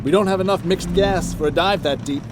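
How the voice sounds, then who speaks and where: An actor playing a gruff, skeptical salvage boat captain in an audio drama, speaking in a blunt, argumentative, emphatic way. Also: male voice, high-pitched, brisk, very noisy, lightly acted. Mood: frustrated, insistent. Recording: dramatic music behind the voice.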